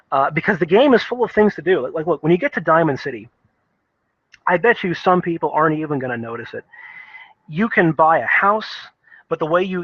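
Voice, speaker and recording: A man giving casual, unscripted game commentary in a rambling, halting way, often repeating words as he thinks. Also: fairly high pitch, fairly bright tone, no echo, dull audio. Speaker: video game reviewer.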